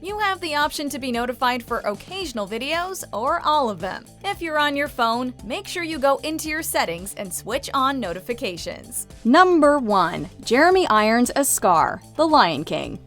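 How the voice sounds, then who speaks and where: A woman's voice: high voice, sing-song, moderate pace, few pauses, fairly bright tone. A woman delivering scripted narration as the narrator of a countdown video. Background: light background music.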